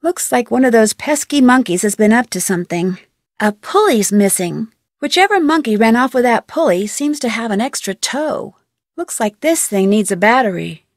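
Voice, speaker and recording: A woman playing an upbeat, curious young female detective in a video game, youthful, perky and earnest, with clear diction. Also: on the high side, expressive, normal speed, dry recording, studio quality. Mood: musing, matter-of-fact. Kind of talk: scripted video game voice acting, thinking aloud.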